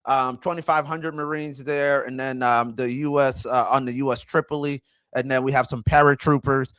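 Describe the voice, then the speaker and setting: A man's voice: medium pitch, a bit bright, no echo. Rambling man in his forties, dry close sound. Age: 40s. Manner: rambling, talks to chat, self-correcting. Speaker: livestream host. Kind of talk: casual livestream commentary.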